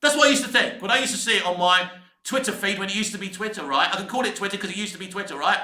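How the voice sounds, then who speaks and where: A man's voice: high-pitched, very fast, bright, forceful tone. A British man in his fifties giving a casual, opinionated rant as a film vlogger, emphatic and exasperated, with mild swearing and repeated points. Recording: echoey, reverberant room.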